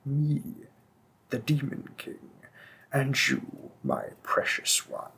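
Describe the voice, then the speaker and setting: A man voicing a possessive, seductive male demon king in an audio roleplay, speaking softly and often in a whisper, slow and teasing. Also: mid-range voice, big pitch swings, creaky, husky, full character voice.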